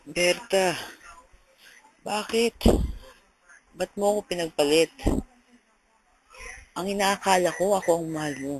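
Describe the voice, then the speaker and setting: A man's voice: high voice, slow, pauses often, poor audio. A man playing a lovesick, jealous young boyfriend in an amateur radio drama, with a Filipino accent. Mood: heartbroken.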